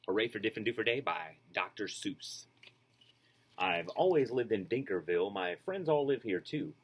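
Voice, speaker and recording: A man reading a children's storybook aloud, expressive and rhythmic, with casual asides. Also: lowish voice, moderate pace, breathy edge. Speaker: storybook reader.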